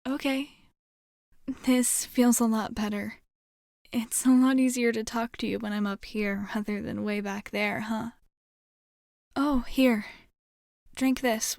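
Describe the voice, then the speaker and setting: A woman acting a gentle, curious young alien rescuer in an ASMR roleplay, speaking softly and reassuringly, with some hesitation, close to the microphone. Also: fairly high pitch, no room sound. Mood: tentative, reassuring, then caring. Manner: soft, reassuring, hesitant, caring.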